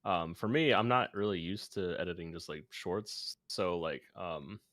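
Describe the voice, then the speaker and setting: Low-voiced young man, halting and casual. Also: fairly bright tone, no room sound, studio quality. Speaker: video editor co-hosting a stream. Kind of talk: casual chat.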